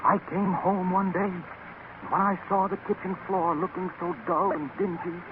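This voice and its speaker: A man voicing a mournful, regretful male ghost in a 1930s radio comedy, hollow and drawn-out. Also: high voice, flat delivery, natural voice. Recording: tinny, noisy 1930s radio recording.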